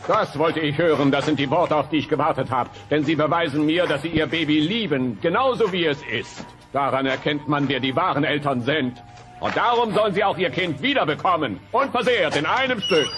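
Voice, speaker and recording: A man's voice: on the high side, expressive, brisk, close to an everyday voice. An actor playing a grand, showy dinosaur magician in a German cartoon audio play, declaiming like a showman. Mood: solemn, grand. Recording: music bed behind the voice.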